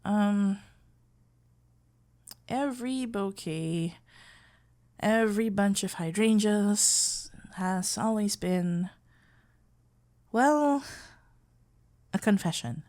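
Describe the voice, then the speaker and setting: Woman acting as smitten florist best friend, tender, nervous, slow pace, medium pitch, hesitant stammer, romantic ASMR roleplay. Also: animated, darkish tone. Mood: tender, earnest. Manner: hesitant, stammering, halting, with whispered breathy moments.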